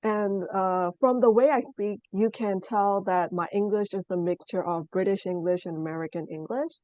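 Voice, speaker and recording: A young woman from Burma, a native Burmese speaker, giving a student presentation in a measured, explanatory way with frequent pauses. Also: medium pitch, steady tone, darkish tone, no room sound, highs cut off. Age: young adult. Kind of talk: class presentation.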